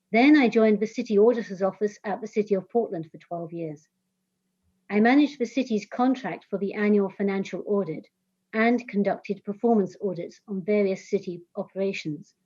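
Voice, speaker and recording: A woman in her forties with an English accent, giving a measured, formal introduction as a county auditor. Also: mid-range voice, warm tone. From Scotland, raised in England. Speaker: senior management auditor. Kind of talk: formal self-introduction at a public meeting. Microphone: remote call audio. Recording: dull lo-fi video call audio.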